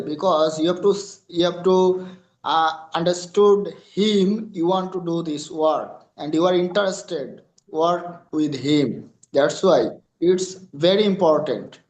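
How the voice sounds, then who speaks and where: Bangladeshi man, Bengali native, guest answering questions; halting English, slow and deliberate, slightly high voice, echoey room. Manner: halting non-native English with many fillers. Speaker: freelancer guest. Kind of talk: interview answers.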